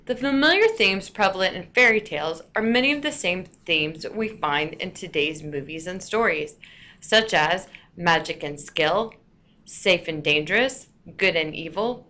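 A woman with a slightly low voice narrates a lesson in the way of a teacher. She speaks deliberately, stressing key words as a storyteller would. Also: sharp tone. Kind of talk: scripted lesson narration for listeners.

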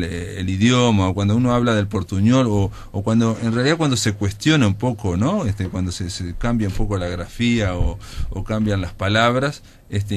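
A man's voice: lowish voice, a little hiss or hum. A man with a Uruguayan accent hosting a radio interview, talking in a chatty way with many fillers. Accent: Uruguayan Spanish. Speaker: radio host.

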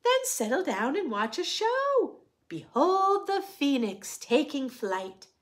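A woman's voice: high-pitched, sing-song, slow pace, heavily acted. A woman voicing a theatrical, pushy female keeper of a magic pet shop in a picture book, with dramatic, coaxing patter. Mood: eager selling.

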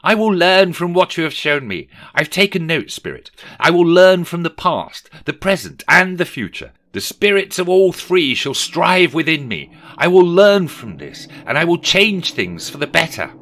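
A man's voice: fairly high pitch, wildly swooping pitch, unhurried, sharp tone, dry recording, voice pushed up, heavily acted. A man voicing a theatrical, exclamatory miser prime minister in a storybook reading, frightened and reformed. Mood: earnest resolve. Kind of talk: dramatic audiobook character reading.

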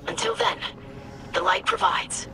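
A woman voicing an earnest, dutiful young female alien scholar in a video game, with formal, precise diction and a breathy voice. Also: lowish voice, huge pitch swings, a little slow, noisy background. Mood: resigned, dutiful. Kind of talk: scripted video game dialogue. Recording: music under the voice.

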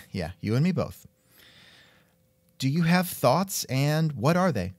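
A man with a lively, swooping delivery hosts a casual interview. He sounds wry and musing, and the recording is close and dry. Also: a little high, takes it slowly, no room sound. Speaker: podcast host and interviewer. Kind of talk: casual scripted interview chat.

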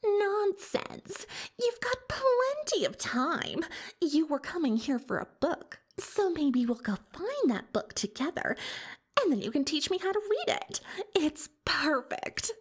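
A woman's voice: very high voice, sing-song, slow, heavily acted. A woman playing a pompous, defensive unicorn pony magician turned librarian in an ASMR roleplay, hesitant when cornered. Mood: scheming, haughty.

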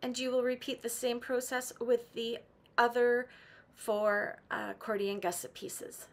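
Middle-aged woman, raspy from laryngitis, high voice, calm how-to sewing walkthrough. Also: measured pace. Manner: patient, practical, with some "um" and restarts. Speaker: sewing tutorial host. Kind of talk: step-by-step sewing instruction. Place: home sewing room.

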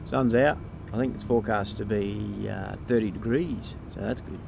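Gravelly man, casual outdoor vlog. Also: lowish voice, steady tone, moderate pace. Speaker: sailing vlogger, boat skipper. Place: outdoors on a sailing yacht. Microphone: camera held at arm's length. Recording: outdoor wind and boat noise.

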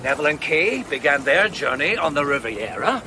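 An intense amateur sleuth in a radio mystery. His high, animated voice lays out a case with theatrical deliberation, over clearly noisy boat ambience outdoors. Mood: wry, measured. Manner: theatrical, deliberate, building suspense. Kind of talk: radio drama acting.

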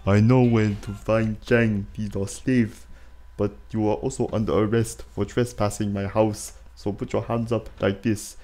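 A man voicing a pompous, rambling, solemn cartoon monk with a creaky voice in a crude parody dub. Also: on the low side, level tone, measured pace, warm tone, voice pushed down. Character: pompous psychic monk, stern but absurd and lewd.

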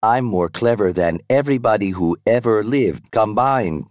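An actor playing a megalomaniacal artificial intelligence villain in a video game, calm and condescending. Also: male voice, lowish voice, moderate pace, no echo, studio quality, natural voice. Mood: cold menace.

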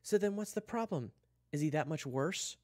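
A man's voice: fairly high pitch, animated, normal speed, darkish tone, pitched above the performer's own voice. A man voicing a sensible, exasperated schoolgirl who chides and protests in a narrated story. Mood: reasoning. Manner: chiding, protesting.

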